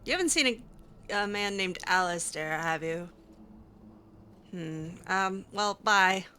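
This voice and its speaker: A woman's voice: lowish voice, lively intonation, slow, bright, forceful tone, a little hiss or hum. A woman doing casual, improvised roleplay commentary over a video game, chatty and playful with a hesitant way of talking. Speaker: gamer roleplaying a character.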